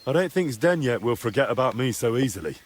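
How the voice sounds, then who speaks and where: Earnest young man played by an actor, lively intonation. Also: medium pitch, fast, lightly acted. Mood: reassuring. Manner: direct, questioning, reassuring yet pressing. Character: earnest, probing young medieval male investigator. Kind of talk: naturalistic video game drama acting. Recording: clear background ambient noise.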